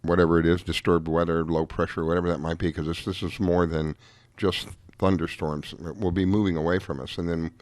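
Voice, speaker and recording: Hoarse man hosting a radio show. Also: low voice, flat delivery, brisk, a little mellow, dry recording, clean studio sound. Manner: chatty, rambling, with frequent restarts and fillers. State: hoarse after a late night. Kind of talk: casual talk-radio chat.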